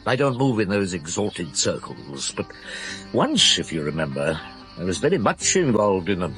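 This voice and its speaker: An actor playing a seasoned, wry Paris chief inspector in a radio drama, musing and understated. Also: male voice, low-pitched, very animated, moderate pace, a bit bright, faint music behind, low-quality recording. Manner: musing, understated, with hums and short replies. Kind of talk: naturalistic radio drama acting.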